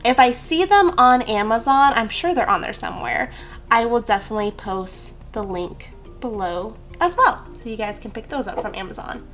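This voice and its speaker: A woman with a fairly high voice talks through a nail art tutorial in a casual, rambling way. She drifts into easygoing asides about herself as she works. Soft music plays faintly underneath. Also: lively intonation, moderate pace. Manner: chatty, rambling, self-deprecating asides. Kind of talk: casual how-to narration.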